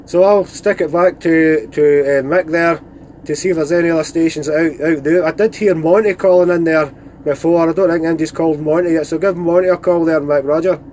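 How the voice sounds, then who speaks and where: Higher-voiced Scottish man chatting on CB radio. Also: fairly even intonation, brisk, a little mellow, a little hiss or hum. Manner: chatty, informal, radio procedure phrases. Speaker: radio net operator filming a live stream. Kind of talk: casual CB radio chat. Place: inside a parked van outdoors in the countryside.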